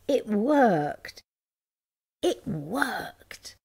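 Lady doing excitable kid in a storybook, gullible, slow emphatic words, very animated. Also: mid-range voice, vocal fry, breathy edge, heavily acted. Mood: boastful. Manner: emphatic, drawn-out exclamations. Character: excitable gullible schoolboy, loudly exasperated, later slyly boastful.

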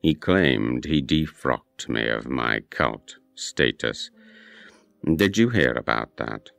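An actor playing a measured, probing doctor in an audio horror drama, slow, formal and gravelly. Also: male voice, extremely low pitch, expressive, a bit bright, soft background music, exaggerated voice. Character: short round bearded doctor, measured, probing mind-control expert.

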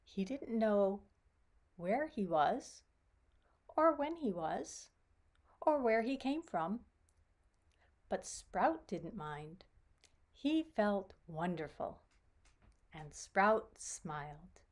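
Middle aged lady reading a kids book out loud, really slow, voice goes up and down a lot. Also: mid-range voice. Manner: slow, deliberate, expressive storytelling. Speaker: author reading her own children's book. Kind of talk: picture-book read-aloud. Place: small room at home with bookshelves.

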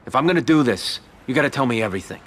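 A wary mafia boss, acted by a man in a video game. His voice is mid-pitched and his delivery terse and skeptical, with faint noise behind it. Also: expressive, rapid-fire, a bit bright, natural voice. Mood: guarded. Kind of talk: video game cutscene acting. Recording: faint outdoor background noise.

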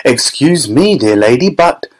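A man's voice: mid-range voice, lively intonation, unhurried. A man reading a storybook, voicing an exuberant, theatrical chocolate factory owner who speaks with effusive, emphatic relish. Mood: proud, brisk.

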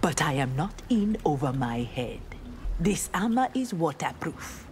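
An actress playing a proud, earnest young armored ninja woman in a video game, speaking in formal, measured, resolute lines. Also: deep voice, very animated, darkish tone, slight background noise. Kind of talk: dramatic video game dialogue.

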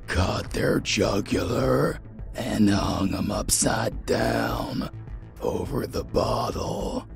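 Gravelly, savage vampire lord. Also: male voice, lowish voice, over music, exaggerated voice. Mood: savage relish. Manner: slangy, drawn-out, menacing. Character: savage, battered vampire lord, hungry, gleefully cruel. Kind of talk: dark roleplay audio drama.

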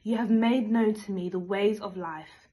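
A woman's voice: mid-range voice, fairly even intonation, measured pace, dark tone, husky. A young woman reading scripture aloud, recorded in a plain room at arm's length. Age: young adult.

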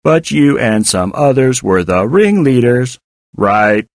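Man putting on a slow, malicious robot woman. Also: mid-range voice, animated, no room sound, studio quality. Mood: probing, smug. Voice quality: hard, robotic, artificial. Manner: mock-sweet, slow and drawn-out. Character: malicious, taunting female robotic voice of a machine hacker. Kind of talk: audiobook character voice.

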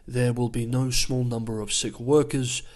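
A male host narrates a scripted economic news rundown in a calm, measured voice at an ordinary mid pitch. He explains each point steadily, as if walking viewers through the figures, and his voice is wearing thin and turning hoarse. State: losing his voice. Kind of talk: scripted news analysis voice-over.

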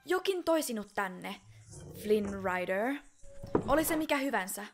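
A woman voicing a wary, determined young sheltered fairy-tale princess in an animated film fan dub, speaking emphatically and deliberately. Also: fairly high pitch, music in the background.

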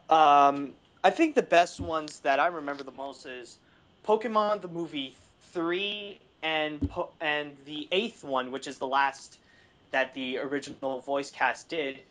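Male podcast panelist, slightly high voice, casual and halting, hunts for words in a film chat. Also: fairly bright tone. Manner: halting, searching for words, frequent "like".

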